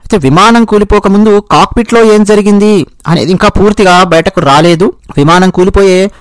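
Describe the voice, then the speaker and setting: Man, Telugu accent, high-pitched, dry sound, explanatory news commentary, rephrases points. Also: brisk, smooth, no room sound.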